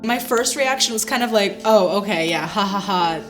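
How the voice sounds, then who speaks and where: A woman's voice: medium pitch, expressive, moderate pace. A young woman speaking in a casual, conversational way in a discussion. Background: music.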